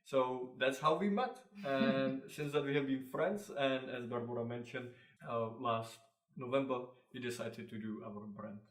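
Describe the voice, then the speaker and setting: Young man, Czech accent, halting non-native English, frequent pauses, mid pitch, echoey room, distant mic. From Czech Republic. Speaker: fashion designer, brand co-founder. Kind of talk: interview answers.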